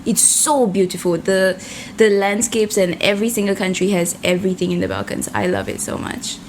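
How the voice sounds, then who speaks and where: A woman's voice: medium pitch, lively intonation, fairly quick, noisy background. A young woman from Malaysia, a guest on a casual podcast interview, talking in a friendly, chatty way with plenty of fillers. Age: young adult.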